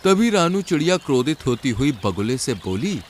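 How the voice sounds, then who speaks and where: Man, story narrator, scripted children's tale, lively intonation, faint background noise. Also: on the high side, normal speed.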